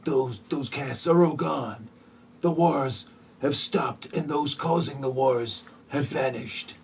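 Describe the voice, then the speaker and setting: Grave newsreader played by a man, mid-pitched, mock news bulletin over faint background noise. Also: slow pace. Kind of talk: mock news report in amateur audio play.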